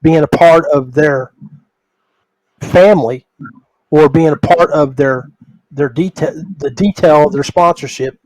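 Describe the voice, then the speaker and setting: A man hosting a casual podcast conversation, speaking in a halting way and often repeating words. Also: a little high, darkish tone, no echo, low-quality recording. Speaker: podcast host.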